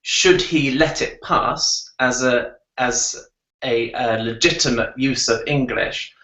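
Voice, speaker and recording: A man leads an academic panel discussion in a relaxed, conversational way. His voice is roomy and slightly dull, with noticeable reverb. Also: medium pitch, slow pace.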